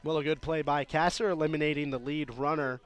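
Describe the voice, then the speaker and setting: A man's voice: fairly high pitch, steady tone, quick pace. An American man giving conversational color commentary during a live baseball radio broadcast.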